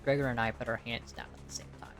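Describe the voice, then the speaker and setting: A woman's voice: rumbling low, steady tone, quick pace. A woman reading scripted story narration as an amateur voice-over, now and then stumbling over the text, with music behind her.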